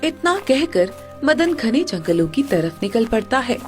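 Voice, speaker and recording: A woman's voice: a little high, sing-song, measured pace, soft background music. A woman giving scripted narration for a moral story.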